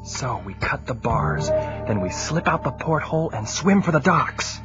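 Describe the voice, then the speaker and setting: An actor playing an earnest young American sailor held captive in an audio drama, eager and anxious. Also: male voice, medium pitch, sing-song, a little slow. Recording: dull recording under loud music.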